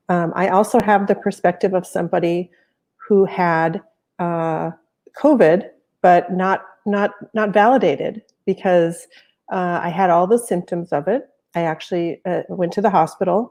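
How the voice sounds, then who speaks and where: Low, mellow-voiced American woman. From United States. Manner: measured, explanatory, occasional fillers. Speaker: panel guest, software professional. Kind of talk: panel discussion remarks.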